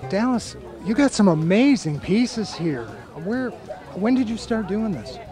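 Older man, high voice, upbeat interviewer, handheld mic outdoors, music bed. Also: big pitch swings, unhurried. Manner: upbeat, short affirmations. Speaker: host interviewing artists. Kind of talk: casual on-the-spot interviews. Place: outdoor art fair in a park.

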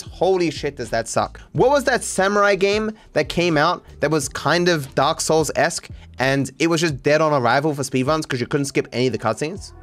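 A man in his thirties streaming from home on a desk mic, casually reacting to viewer takes in an opinionated, rambling way and swearing freely. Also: fairly high pitch. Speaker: speedrunning streamer. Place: home streaming room, indoors.

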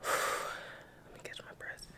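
Slow-talking young woman vlogging at home. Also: whispered. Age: young adult. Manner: talks gently to her toddler, chatty and relaxed to camera. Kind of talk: casual vlog chat. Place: home kitchen and bathroom, indoors. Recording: roomy home echo.

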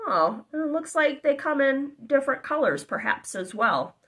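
Lively high-voiced woman reading a kids' book. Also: normal speed. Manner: animated, exclamatory, child-directed with asides. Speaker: storybook narrator. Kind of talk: reading a children's picture book aloud. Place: living room, indoors.

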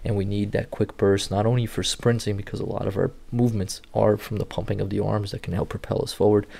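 A native Portuguese-speaking man in his thirties breaks down rehab footage like a clinician. His voice is heavily gravelly and dark, sitting low and staying fairly flat in tone. He speaks straight into a webcam in an indoor room. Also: fast talker. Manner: steady, instructive walk-through of clips. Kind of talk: explanatory video commentary.

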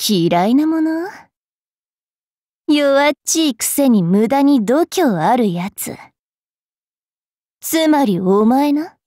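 A woman playing a haughty, mischievous young vampire fairy priestess in an anime game, brash and teasing in a rough-spoken way. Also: high-pitched, expressive, takes it slowly, long pauses, mellow, dry recording, clean studio sound. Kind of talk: anime game character voice lines.

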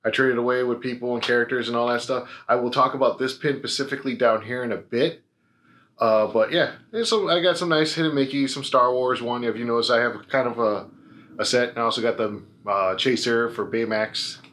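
A man's voice: mid-range voice, fairly quick, a bit bright. A young American man chatting casually as a vlogger, explaining things in a rambling way. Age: young adult. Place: small living room, on a couch. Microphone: camera mic a few feet away. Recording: roomy echo, noisy camera audio.

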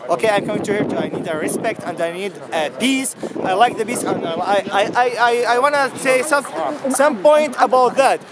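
High-pitched young Iraqi man, halting English. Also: crowd noise, rough recording. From Iraq. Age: young adult. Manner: halting, repetitive non-native English. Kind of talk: unscripted street interview. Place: outdoors at night in a city square. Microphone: handheld camera at arm's length. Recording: crowded outdoor street on handheld camera.

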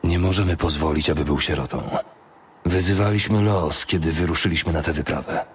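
An actor playing a noble, earnest paladin with a teasing streak in an audiobook drama. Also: male voice, sits low, a little fast, background noise, lightly acted. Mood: grave, worried.

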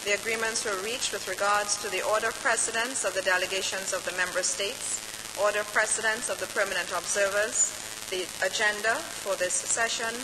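A woman from Grenada, an ambassador presenting a committee report to an assembly in a formal, procedural manner. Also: medium pitch. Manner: formal and procedural, steady delivery. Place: large assembly hall. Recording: hissy conference recording.

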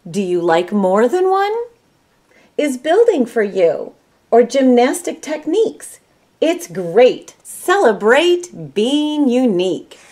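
A woman's voice: fairly high pitch, big pitch swings, slow pace, a little mellow. A middle-aged woman with an American Midwestern accent, speaking expressively in the style of a children's storyteller. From Michigan, United States. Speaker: volunteer storybook reader. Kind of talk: children's read-aloud storytelling. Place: small room at home, indoors.

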